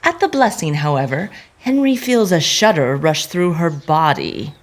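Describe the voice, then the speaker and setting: Expressive lower-voiced woman narrating a story. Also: a little slow. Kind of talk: dramatic scripted storytelling narration.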